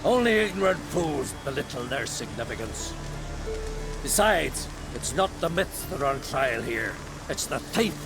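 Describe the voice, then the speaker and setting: An actor playing a proud, stern older scholar in a game cutscene, telling a legend in a measured, grave way. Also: male voice, mid-range voice, sing-song. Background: game score music.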